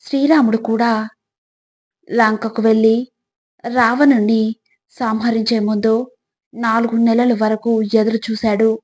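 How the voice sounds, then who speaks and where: A woman reads scripted motivational reflections in a measured way, addressing the listener directly like a narrator of life lessons. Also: a little high, level tone, a little mellow.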